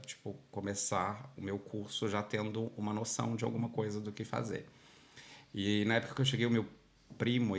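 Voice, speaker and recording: A man from Minas Gerais with a Brazilian Portuguese accent, a podcast guest chatting casually and animatedly, full of fillers. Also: lowish voice. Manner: animated storyteller, many fillers like "tipo" and "sabe". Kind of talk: casual interview chat.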